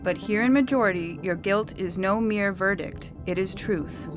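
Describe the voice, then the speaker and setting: Lady acting as a stern imperious judge, totally certain, measured delivery, audio story w music behind her. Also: medium pitch, lightly acted. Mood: stern conviction. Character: implacable, imperious female judge of absolute conviction. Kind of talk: dramatic story reading.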